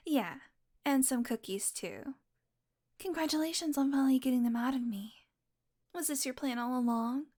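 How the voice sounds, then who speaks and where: A woman's voice: high-pitched, normal speed, long pauses, a little mellow. A woman voicing a teasing, possessive vampire who dotes on her prey, speaking intimately and smugly to the listener in an audio roleplay. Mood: gentle, amused. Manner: smug, flirtatious teasing with mock annoyance.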